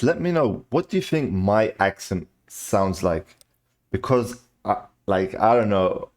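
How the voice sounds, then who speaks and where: A man's voice: fairly low pitch, darkish tone. A man with a mixed British accent, half British and half Cypriot, chatting casually while reacting to videos. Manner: loose, chatty, says "bro" a lot. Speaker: reaction channel host.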